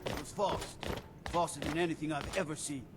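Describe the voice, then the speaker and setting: An actor playing a shaken young town guard in a fantasy video game, reporting in an earnest, urgent manner. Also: male voice, on the high side, fairly even intonation, a little fast, natural voice. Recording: game dialogue under loud background noise.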